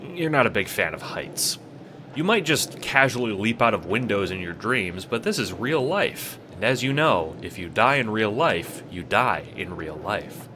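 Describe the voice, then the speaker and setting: A man's voice: fairly low pitch, sing-song, a little slow, noisy background. A man narrating an audio drama in the second person with a wry, theatrical, sardonic manner.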